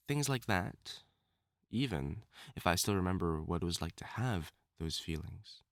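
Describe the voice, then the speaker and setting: A man's voice: mid-range voice, fairly bright tone, no room sound, studio quality. A man playing a theatrical male wolf-mutant supervillain in love, teasing and wounded, in a scripted audio roleplay spoken to the listener. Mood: regretful, pleading. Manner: theatrical, taunting, dramatic swoops and pauses.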